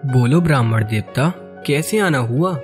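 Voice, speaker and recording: A man playing a calm ascetic sage in a cartoon story, with a husky voice and measured manner. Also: medium pitch, natural voice. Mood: calm, reflective. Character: calm ascetic sage explaining past-life karma. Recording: music behind the voice.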